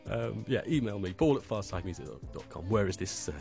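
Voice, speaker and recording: A middle-aged man presenting a radio music show in a relaxed, casual way, often pausing with fillers. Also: lowish voice, vocal fry.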